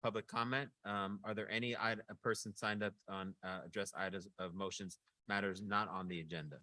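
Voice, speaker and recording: A man's voice: lowish voice, steady tone, fairly quick, pushed and bright, no room sound. A man chairing a public board meeting, speaking in a polite, hesitant way.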